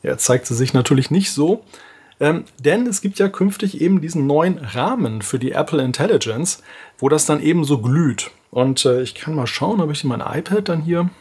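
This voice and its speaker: A man's voice: a little high, animated, normal speed, darkish tone, husky. A man in his forties hosting a casual tech vlog from a home office, chatty and thinking aloud with fillers. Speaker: tech video host. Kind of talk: casual talking-head vlog. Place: home office with desk and monitor. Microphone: camera framed at close head-and-shoulders.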